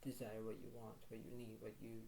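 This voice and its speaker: A man giving a casual oracle card reading in a rambling, repetitive way, full of "you know" fillers. He says his voice is low today. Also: flat delivery, fairly quick, gravelly, mellow, a little hiss or hum.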